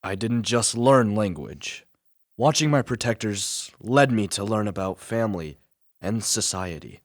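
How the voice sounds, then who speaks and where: A man's voice: lowish voice, lively intonation, unhurried, dry recording, clean studio sound. A man playing a lonely, thoughtful creature telling his life story in a radio play, in a formal and reflective manner. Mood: reflective narration.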